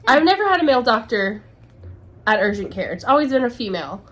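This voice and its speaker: A woman's voice: on the high side, sing-song, fast, fairly bright tone. A woman getting over a sinus infection, co-hosting a podcast in casual chat, telling long rambling stories with restarts. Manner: rambling storyteller, restarts and asides. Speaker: podcast co-host. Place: dim office lobby, on a couch. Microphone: camera and lights set up.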